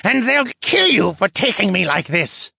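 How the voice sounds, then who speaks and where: A man performs a captive merman traitor from a fantasy audiobook. The voice is husky and lifted high, swinging widely in pitch. He sounds bitter, sneering and defiant. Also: very animated, a little slow, fairly bright tone, dry recording, studio quality, heavily acted. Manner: sneering, scornful, muttering.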